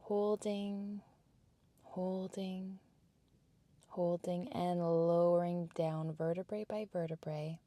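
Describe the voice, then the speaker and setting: Slow, mellow woman guiding a workout. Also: lowish voice, steady tone, no room sound. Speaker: fitness and yoga instructor. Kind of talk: guided workout instruction with relaxation meditation cadence.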